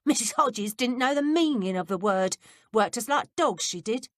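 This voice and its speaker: Woman doing a sly gossipy servant boy, blunt, lively pitch, dry sound. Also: normal speed, no echo, lightly acted. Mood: grumbling. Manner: blunt working-class speech.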